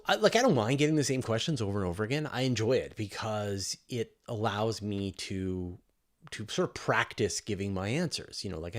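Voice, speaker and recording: A man hosting a live question-and-answer stream, talking casually and thinking aloud as he enthusiastically explains things. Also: on the low side. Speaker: host of a space Q&A livestream.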